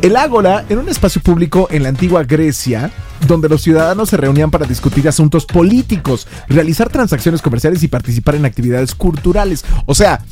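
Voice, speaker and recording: A man's voice: on the high side, animated, fairly quick, warm tone, soft background music. A man co-hosting a casual show, joking around and reading listener messages and definitions aloud. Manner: playful, jokey, reads definitions aloud. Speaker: podcast co-host.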